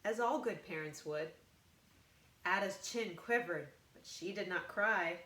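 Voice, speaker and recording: A woman reading a rhyming children's picture book aloud, expressively, with deliberate, emphatic phrasing. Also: lowish voice, fairly bright tone. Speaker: volunteer storybook reader. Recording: roomy, echoing room sound.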